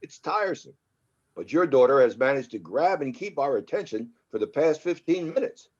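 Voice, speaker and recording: A man plays a smooth, moneyed young Hollywood producer in a screenplay read. His delivery is lively and self-assured, at a middling pitch, with slightly muffled lo-fi sound. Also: moderate pace. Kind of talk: table read of a drama screenplay. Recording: slightly dull, lo-fi audio.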